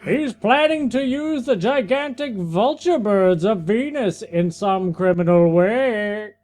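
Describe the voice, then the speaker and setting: A podcast co-host trading playful, quick quips and reading comic lines aloud. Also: medium pitch, lively intonation, darkish tone. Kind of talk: joking banter and comic reading.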